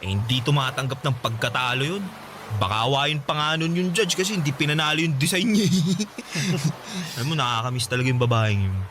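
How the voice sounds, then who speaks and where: An actor playing a loyal, consoling young friend in a Filipino radio drama, speaking casually with a Filipino accent. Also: male voice, mid-range voice, a little fast, fairly bright tone, a little hiss or hum, close to an everyday voice. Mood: wistful, fond.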